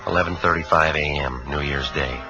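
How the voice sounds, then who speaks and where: A man's voice: rumbling low, fairly quick. A man playing an American police sergeant in a 1950s radio drama, narrating and questioning in a terse, matter-of-fact, businesslike way. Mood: flat, factual. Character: calm, businesslike male police sergeant narrating his case. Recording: dull 1950s radio broadcast, faint music.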